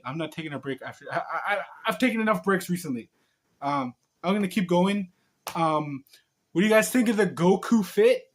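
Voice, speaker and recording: Higher-pitched man, playful storybook reader. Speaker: host reading a storybook to viewers. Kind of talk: storybook read-aloud and casual chat to viewers.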